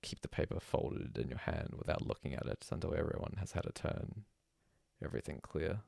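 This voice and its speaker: A man's voice: rumbling low, animated, a little fast, vocal fry, darkish tone, no echo, studio quality, exaggerated voice. A man voicing a sober, plain-spoken village official who formally addresses a gathering in a storybook reading. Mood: formal announcing. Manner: folksy, sober, formal calling out.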